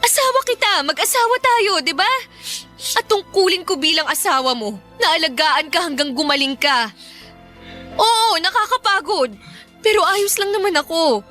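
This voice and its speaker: High-voiced, pleading young wife. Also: female voice, animated, normal speed, pushed and bright, soft background music, full character voice. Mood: earnest, reassuring. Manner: earnest, pleading, emotional delivery. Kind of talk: Filipino radio drama acting.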